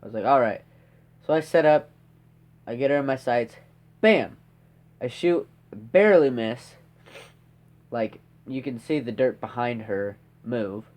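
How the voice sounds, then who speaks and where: A man's voice: mid-range voice, a little slow, long pauses, a little mellow. A teenage boy with a stuffed-up nose, rambling through a story with lots of fillers and tag questions. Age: teens. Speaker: podcast host. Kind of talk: casual storytelling.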